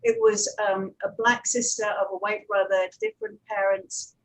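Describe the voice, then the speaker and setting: A woman's voice: mid-range voice, big pitch swings, moderate pace, gravelly, low-quality recording. A woman giving an informal panel presentation as an audio producer, talking conversationally with anecdotes and digressive asides.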